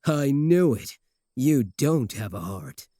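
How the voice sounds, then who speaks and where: A man's voice: mid-range voice, sing-song, slow pace, warm tone, no echo, clean studio sound. A man voicing a possessive, boastful werewolf in a comedic ASMR roleplay, sardonic and taunting, doting on his sweetheart.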